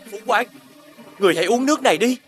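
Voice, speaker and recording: A man playing a sly prince who sounds dutiful while accusing his brother in an animated fairy tale. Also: extremely high pitch, quick pace, music in the background. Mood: urgent.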